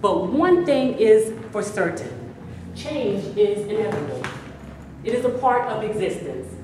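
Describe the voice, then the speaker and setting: A woman narrates a stage prologue in a measured, solemn tone. She sounds far from the mic in a very echoey hall, with clear noise behind her. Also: medium pitch, very animated. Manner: measured, solemn address to the audience. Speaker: narrator reading a prologue poem.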